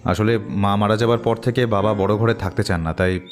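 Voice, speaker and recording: A man playing a hesitant, somewhat defensive married householder in an audio detective drama, mild and halting. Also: on the low side, fairly even intonation, close to an everyday voice. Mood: obliging, hesitant.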